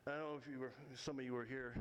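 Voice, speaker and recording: A man telling a story live in a folksy, rambling, self-deprecating and halting way. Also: medium pitch, fairly even intonation, poor audio. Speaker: storyteller and local history author. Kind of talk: live tall-tale storytelling to an audience.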